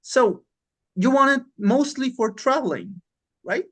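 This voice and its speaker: A man's voice: extremely high pitch, lively intonation, unhurried, breathy edge. A man teaching an informal online English lesson on a class call, encouraging and often repeating himself for emphasis.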